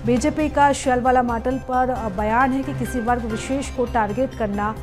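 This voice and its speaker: A woman's voice: on the high side, fairly even intonation, normal speed, over music. A woman presenting live television news as an anchor.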